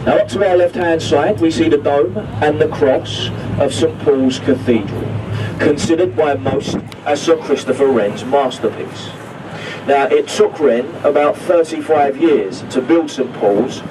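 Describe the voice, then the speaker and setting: A man's voice: on the high side, unhurried. Chatty man on a boat PA. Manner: chatty, jokey tour patter. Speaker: boat crew commentator. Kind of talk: tour commentary over a microphone. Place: open deck of a river boat, outdoors. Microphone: handheld microphone over a boat speaker, filmed from a distance. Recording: distant PA voice with boat noise.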